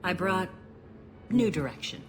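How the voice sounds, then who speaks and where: A woman's voice: on the low side, lively intonation, measured pace, a little hiss or hum. An actress playing a principled female gang leader in a video game, speaking firmly and earnestly in formal phrases. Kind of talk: dramatic video game acting.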